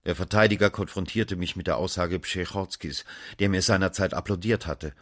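An actor narrating in the first person as a former investigating judge in a radio drama, measured and sober. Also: male voice, low voice, fairly even intonation, fairly bright tone. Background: music underneath.

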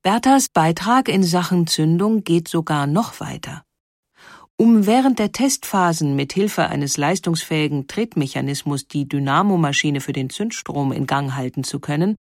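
A woman's voice: low voice, animated, moderate pace, dry recording. Composed female narrator, dry sound. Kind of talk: scripted documentary narration.

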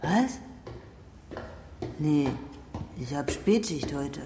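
Casting: anxious, testy mother, radio crime drama, lowish voice, unhurried delivery. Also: animated, big-room sound, noisy background, exaggerated voice. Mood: defensive, wary. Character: anxious, defensive mother of a grown or teenage son.

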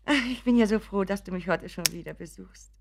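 A woman's voice: mid-range voice, brisk, creaky, noisy background, natural voice. An actress playing an anxious, doting mother in a German radio drama, fussing and apologetic, often dropping to a whisper. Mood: eager, apologetic. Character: anxious, doting, pleading mother of grown son.

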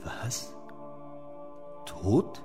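Slow, simple, defensive monk. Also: male voice, mellow, soft background music. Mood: uneasy. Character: simple, defensive Dominican monk fond of beer. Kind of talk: German radio play acting.

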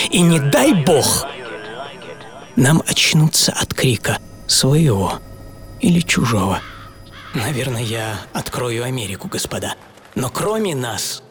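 An actor playing a young idealistic lawyer in a theatrical, intense radio monologue with deliberate pauses. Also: male voice, mid-range voice, lightly acted. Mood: quiet, grave. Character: young idealistic American lawyer, a fiery crusader recounting his fight. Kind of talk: dramatic first-person radio monologue in Russian. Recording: studio voice over prominent music. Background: music.

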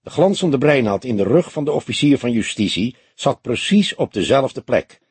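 A man voicing an earnest young police detective in an audiobook, husky and matter-of-fact, with an inquisitive manner. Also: mid-range voice, lively intonation, moderate pace, darkish tone, dry recording. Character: young male police detective, earnest, slightly teasing sidekick. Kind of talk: acted dialogue in an audiobook.

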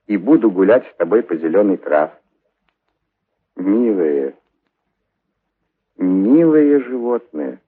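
Soft-hearted animal trainer, plaintive, raspy, unhurried audio-drama delivery, thin narrowband sound. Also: male voice, deep voice, full character voice. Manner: plaintive, sighing, talking tenderly to animals. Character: soft-hearted male animal trainer, anxious then grieving over his goose.

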